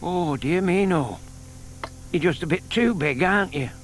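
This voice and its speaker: An actor playing a shy, lovestruck older British gentleman in an audio drama, polite, old-fashioned and eager. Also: sits high, animated, measured pace, a little hiss or hum. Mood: muttering, focused.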